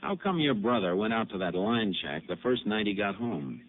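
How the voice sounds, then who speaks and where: An actor playing a calm, methodical male Texas Ranger detective in a 1950s radio drama, asking measured questions. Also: fairly low pitch, close to an everyday voice. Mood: steady, probing. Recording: tinny lo-fi old radio broadcast with faint hiss.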